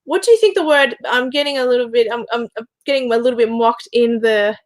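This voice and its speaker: A woman hosts a relaxed interview with a Spanish-speaking childhood behind it. Her voice sits fairly high and sounds chatty and agreeable. The sound is dry and close, with no room in it. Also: no room sound. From Australia. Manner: chatty, agreeable, quick to laugh. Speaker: podcast host. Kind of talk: casual interview chat.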